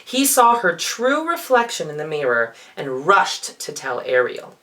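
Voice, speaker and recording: Male drag queen, storybook reading, very slow pace, high-pitched for a man, expressive and theatrical. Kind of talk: children's storybook reading with playful asides.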